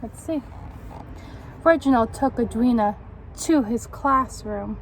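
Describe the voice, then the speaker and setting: A woman reading a children's picture book aloud in an expressive, encouraging, teacherly way, pausing to put questions to young listeners. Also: fairly high pitch, a little hiss or hum, rough recording.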